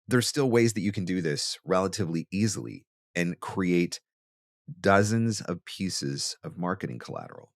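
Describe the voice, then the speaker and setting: Low-voiced man, dry close sound. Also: expressive, moderate pace, vocal fry, dry recording. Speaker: host, voice actor teaching marketing. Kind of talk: instructional monologue to camera.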